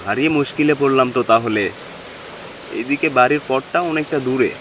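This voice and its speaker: Cartoon horror story lead: a man as an unafraid village vet narrating his own tale, medium pitch, heavy rain behind. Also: moderate pace, lightly acted. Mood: casual, unafraid. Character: village cattle doctor telling his eerie tale, unafraid. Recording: constant rain and wind noise behind.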